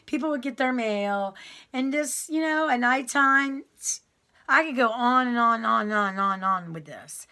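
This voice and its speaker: A woman's voice: a little high, lively intonation, normal speed. A woman telling her prison story to viewers in a casual, rambling way, full of ums and restarts. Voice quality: loud voice that carries.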